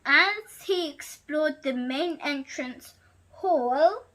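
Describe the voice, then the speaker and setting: High, bright, animated female storyteller. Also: extremely slow. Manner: animated, exclaiming reactions. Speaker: storytime channel host. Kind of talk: expressive read-aloud storytelling with asides to viewers.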